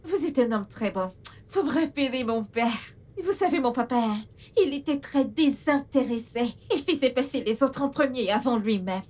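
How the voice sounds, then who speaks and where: High-voiced humble young housemaid. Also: female voice, lively intonation, normal speed, a little mellow. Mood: warm, respectful. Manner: earnest, effusively grateful. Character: humble, tearful young household employee, devoted daughter. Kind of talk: melodramatic film acting. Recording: music under the voice.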